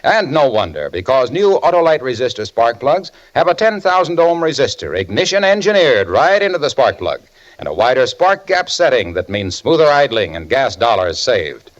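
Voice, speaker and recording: A male announcer playing an exuberant, folksy pitchman with playful sales patter in a vintage radio advertisement. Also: big pitch swings, normal speed, natural voice. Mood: eager selling. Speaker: commercial announcer. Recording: muffled vintage radio recording.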